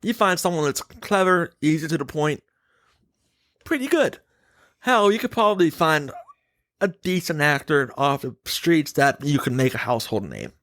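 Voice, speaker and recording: Higher-voiced man chatting on a podcast. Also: animated, normal speed, a bit bright, no room sound, studio quality. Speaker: podcast host. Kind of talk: casual opinionated chat.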